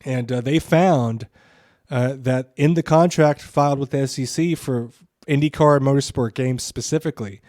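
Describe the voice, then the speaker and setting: A man's voice: mid-range voice, normal speed, a little mellow, no echo, clean studio sound. A man co-hosting a podcast with casual, opinionated commentary, emphatic, repeating phrases for stress and swearing freely. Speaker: podcast co-host.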